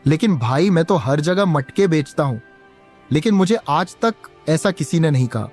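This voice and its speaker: A man's voice: fairly high pitch, animated, normal speed, a little mellow, soft background music. A man voicing a poor, patient village pot seller and father in a cartoon, earnest and plaintive. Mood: protesting. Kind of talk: Hindi cartoon story dialogue.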